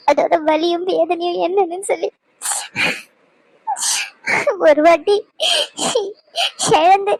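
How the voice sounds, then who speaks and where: A woman's voice: very high voice, no room sound, low-quality recording. A woman playing a sobbing, pleading young woman. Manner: tearful, pleading, stubborn. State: crying. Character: distraught young woman begging her uncle. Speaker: heartbroken young woman in hospital.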